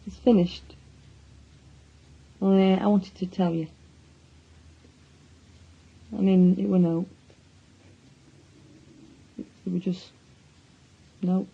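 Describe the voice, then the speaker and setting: An actress playing a guilty, regretful, subdued woman with a husky voice in a radio drama. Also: medium pitch, flat delivery, slow, pauses often, mellow, muffled recording, exaggerated voice. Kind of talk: naturalistic British radio drama acting.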